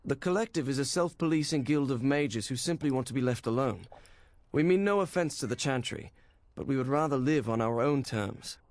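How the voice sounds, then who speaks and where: A male actor plays a discreet agent of a secret mage guild in a fantasy game. His voice sits at a middle pitch, and he is formal, courteous and persuasive. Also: expressive, fairly quick, a little mellow, no room sound, lightly acted. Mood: courteous, assured. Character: smooth, discreet male go-between for secret mages.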